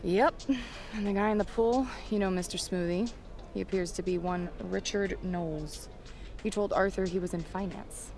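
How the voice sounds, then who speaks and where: A woman's voice: mid-range voice, steady tone, normal speed, darkish tone, a little hiss or hum, lightly acted. An actress playing a matter-of-fact woman reporter in an audio drama, wry and practical. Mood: businesslike.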